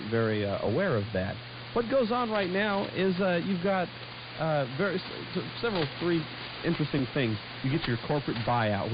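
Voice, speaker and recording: A man's voice: mid-range voice, muffled recording, background noise. A man co-hosting a casual radio talk show, rambling and stumbling over his words, on a shortwave broadcast. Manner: rambling, hesitant with frequent stumbles.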